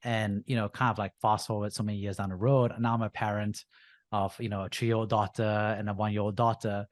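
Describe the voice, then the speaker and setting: A man's voice: on the low side, level tone, brisk, no room sound, studio quality. A man in his thirties from Singapore, a venture capitalist telling his story as a podcast guest, with frequent fillers. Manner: frequent uh and you know fillers, easygoing. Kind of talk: casual interview storytelling.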